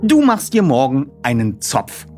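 A man acts out a teenage boy who leads a detective team in an audiobook. He sounds raised in pitch and very animated, with precise, pompous and bossy wording. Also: unhurried, faint music behind. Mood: bossy, decisive.

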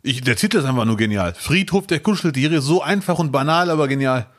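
A man's voice: mid-range voice, animated, fast talker. A man co-hosting a podcast, chatting casually with jokes and emphatic enthusiasm. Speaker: podcast co-host. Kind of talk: casual chat.

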